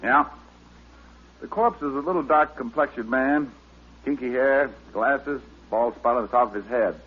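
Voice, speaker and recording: An actor playing a wisecracking, easygoing private detective in a vintage radio drama, with a glib, casual manner. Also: male voice, mid-range voice, normal speed, husky, close to an everyday voice. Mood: casual, friendly. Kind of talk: 1940s-style radio detective acting. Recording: narrow, noisy old radio recording.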